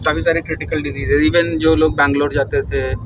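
A man's voice: fairly high pitch, flat delivery, brisk, far from the mic. Chatty man narrating from a moving car. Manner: chatty, tour-guide explaining. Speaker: vlogger. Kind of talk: casual travel vlog narration. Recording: loud road noise, off-mic in car.

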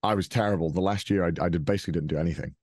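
A man's voice: deep voice, rapid-fire, darkish tone, husky, no echo, clean studio sound. A young man from Wales with a Welsh accent, talking casually as an interview guest, rambling with restarts and light chuckles. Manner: rambling, frequent restarts and fillers, chuckles often.

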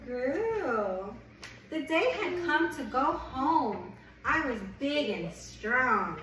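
A woman's voice: high voice, big pitch swings, extremely slow. A woman reading and chatting to young children in an enthusiastic, encouraging manner, often repeating words for them. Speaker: host of a children's read-aloud chat. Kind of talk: picture-book read-aloud and chat for young children. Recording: echoey noisy room, distant mic.

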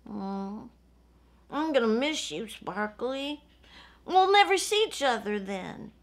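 A woman voicing a young male bear cub in a children's story, speaking in a soft, simple, plaintive way. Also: fairly high pitch, big pitch swings, slow pace, heavily acted. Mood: wistful.

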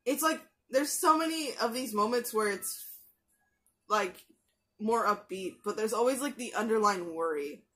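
A woman's voice: a little high, sharp tone. A young woman in a small bedroom chatting casually about an episode she is watching, full of fillers and restarts. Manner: chatty, many fillers and restarts, quick asides. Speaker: anime reaction youtuber. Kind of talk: casual rambling commentary. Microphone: gaming headset mic.

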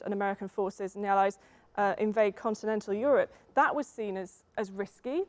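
Young british woman on a panel explaining stuff, medium pitch, emphatic, repeats words. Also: a little mellow. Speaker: panellist, history expert. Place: theatre stage, seated panel.

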